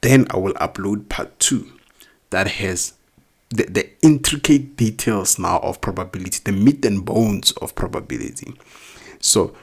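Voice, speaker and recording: A man's voice: on the low side, a little slow, slightly rough. A man with a non-native English accent, teaching a recorded math lesson step by step, asking questions and answering them himself. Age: adult, not young.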